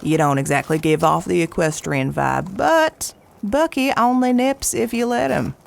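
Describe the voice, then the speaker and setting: An actress playing a folksy, wryly affectionate small-town horse owner in an audio drama, coaxing and unhurried in manner. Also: female voice, fairly low pitch, gravelly. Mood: persuasive. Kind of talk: naturalistic audio drama acting.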